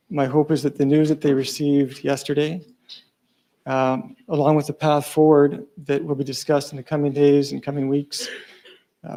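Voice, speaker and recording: A soft-spoken man delivers a police statement at a press conference, pausing often and filling gaps. His voice is dark and gravelly and stays flat in pitch. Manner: soft-spoken, hesitant, many fillers. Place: large press conference room.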